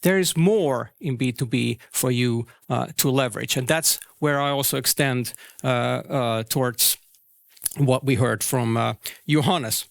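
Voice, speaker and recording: A man's voice: mid-range voice, gravelly, no echo. A man in his forties from Switzerland speaking as a consultant at a business conference, conversational and often correcting himself mid-sentence. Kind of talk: interview and conference presentation.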